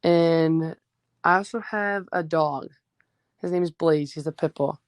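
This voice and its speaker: A teenage girl from New Jersey, a high school basketball player, answering interview questions casually with many fillers; her first language was Greek. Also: measured pace, dry recording. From New Jersey, USA. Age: teens.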